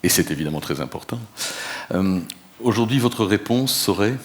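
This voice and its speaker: A man with a very low voice leads a public panel discussion. He frames his questions formally and at length, pausing often to hesitate. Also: lively intonation. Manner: measured, formal, many hesitations. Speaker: moderator.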